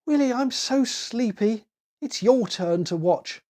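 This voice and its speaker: A sweet little storybook girl, anxious and a bit fretful, put on by a man. The pitch sits well above his normal voice, with childlike delivery. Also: animated, takes it slowly, mellow, exaggerated voice. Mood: tired.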